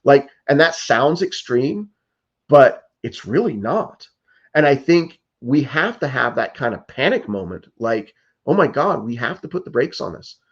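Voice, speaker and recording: Man, 50s, from the United States, political candidate, earnest, urgent, restarts a lot, mid pitch, muffled lo-fi sound. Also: sing-song, a little slow, slightly rough. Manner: earnest and urgent, many restarts and "like", "you know". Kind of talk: interview answers.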